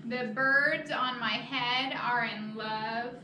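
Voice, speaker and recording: A woman voicing an anxious, exasperated elephant in a children's picture book, with drawn-out, incredulous exclamations. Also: a little high, fairly even intonation, bright, forceful tone, voice pushed down, heavily acted. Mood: exasperated disbelief. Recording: echoey, noisy room, far from mic.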